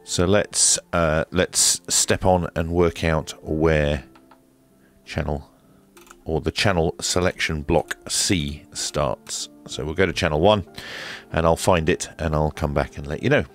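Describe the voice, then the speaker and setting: Very deep-voiced man, slow demo. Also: fairly even intonation, soft background music. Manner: hesitant, methodical step-by-step explaining. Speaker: radio reviewer and presenter. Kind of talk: casual hands-on product demonstration.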